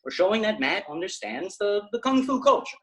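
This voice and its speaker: Bossy, animated teen boy director. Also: high-pitched, brisk, vocal fry, heavily acted. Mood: bossy, earnest. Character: bossy, earnest teenage boy amateur film director. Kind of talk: sitcom script table read. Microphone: video call.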